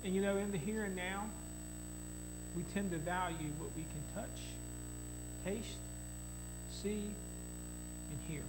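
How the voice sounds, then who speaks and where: A middle-aged man preaching a sermon from a podium microphone in a church hall, swelling louder on key phrases. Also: high-pitched. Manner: preaching with big rises in volume on key phrases. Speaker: pastor. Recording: mains hum behind the voice.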